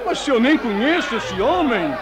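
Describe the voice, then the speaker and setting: An actor playing a frightened, anguished disciple with an emotional, pleading manner in a religious radio drama. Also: male voice, very high voice, sing-song, moderate pace, very noisy. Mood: evasive, nervous. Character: frightened disciple, anguished, denying his master.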